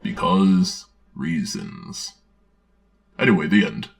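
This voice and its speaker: A man playing a droll villainous dark lord who reads a storybook aloud with deadpan, sardonic commentary. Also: low-pitched, slow, gravelly, exaggerated voice. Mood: deadpan mockery. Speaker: host and narrator. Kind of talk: dramatic reading with sardonic commentary.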